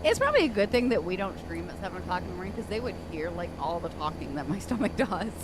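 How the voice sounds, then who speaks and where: A woman's voice: fairly low pitch, sing-song, fast talker, noisy background. A woman co-hosting a live stream, chatting casually and reading chat messages aloud with frequent laughs. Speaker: stream co-host.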